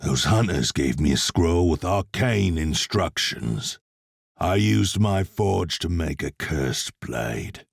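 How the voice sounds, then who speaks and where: A man playing a grim, sardonic cowboy blacksmith demon hunter in a video game, a gravelly husky voice and a dry, laconic manner. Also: deep voice, expressive, takes it slowly, no echo, heavily acted. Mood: grim, brooding.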